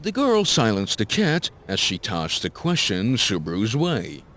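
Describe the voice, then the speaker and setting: Low-voiced, expressive male story narrator. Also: measured pace, a little hiss or hum. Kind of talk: scripted dramatic story narration.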